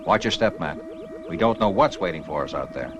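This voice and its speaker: An actor playing a confident, heroic spaceship pilot in a 1960s sci-fi puppet series, brisk and commanding. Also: male voice, low-pitched, animated, over loud music.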